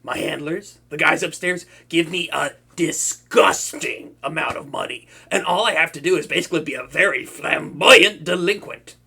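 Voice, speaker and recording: A man's voice: fairly high pitch, normal speed, gravelly, few pauses, sharp tone, breathy edge, full character voice. A man playing a flustered, boastful young male supervillain who stammers and swings between moods. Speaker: voice actor. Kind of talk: hobby audio roleplay to a listener.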